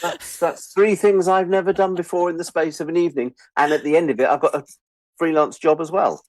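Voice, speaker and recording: A man's voice: fairly high pitch, fairly quick, no room sound, poor audio. A man in his sixties with an English accent, telling anecdotes in a casual interview, self-deprecating and acting out what people said. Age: 60s. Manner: animated storyteller, self-deprecating, acts out quoted remarks. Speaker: interview guest, writer and editor.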